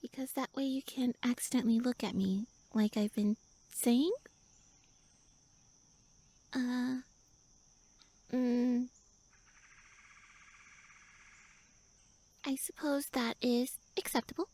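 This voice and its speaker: Woman voicing a tiny tsundere elf woman, roleplay audio, pouty, defensive, breathy, very close dry sound. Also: fairly high pitch, fairly even intonation, mellow, no echo. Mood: bashful, defensive. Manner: flustered, haughty, defensive, halting. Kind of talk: roleplay audio acting, addressed to listener.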